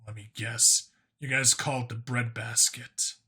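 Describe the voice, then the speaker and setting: A man's voice: medium pitch, flat delivery, measured pace, bright, forceful tone, slightly rough, voice pushed down, heavily acted. A man voicing a wry, skeptical human cop who talks in a dry, casual way in an audiobook story.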